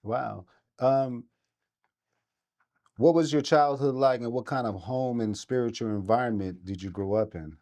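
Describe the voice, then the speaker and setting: A man's voice: medium pitch, measured pace, long pauses, warm tone. A man hosting an interview, introducing his guest and asking questions. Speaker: interview host.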